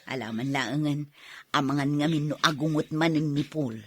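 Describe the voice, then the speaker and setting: A woman's voice: low voice, moderate pace, a little mellow, slightly rough, dry recording, studio quality. A woman playing a weary but feisty put-upon wife and mother in a radio drama, with an Ilocano accent and a complaining, sighing manner. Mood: weary, friendly.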